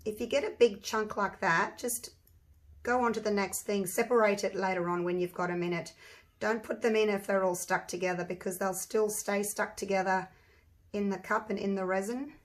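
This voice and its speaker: An Australian woman with a croaky, husky voice, chatting casually as she walks viewers through a resin craft step by step. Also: medium pitch, steady tone, moderate pace. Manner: chatty, rambling, talks through each step. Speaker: resin craft channel host. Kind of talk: casual craft tutorial talk.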